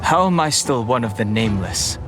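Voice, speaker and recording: An actor playing a reserved, dutiful young warrior of ancient lineage in a video game, restrained and serious. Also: male voice, medium pitch, sing-song, brisk, natural voice. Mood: weary, resolute. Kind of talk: scripted video game voice acting. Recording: game dialogue under loud music.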